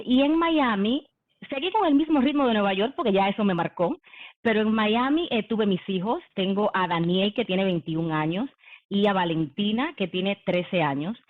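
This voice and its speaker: A woman in her forties, from the Dominican Republic, shares her life story in a leadership talk. She speaks at an ordinary pitch and pauses often on "em" and "eh". The sound is thin and phone-like, like an online meeting. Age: 40s. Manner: warm conversational, frequent "em" and "eh" fillers. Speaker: company executive giving a leadership talk. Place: video call.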